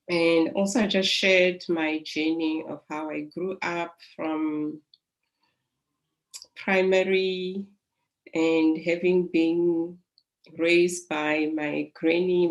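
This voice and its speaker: South African woman, middle-aged, low and gravelly, flat even delivery, soothing and reflective. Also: poor audio. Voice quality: calm, soothing voice. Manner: reflective, unhurried, with soft laughs. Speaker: life coach. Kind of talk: personal storytelling.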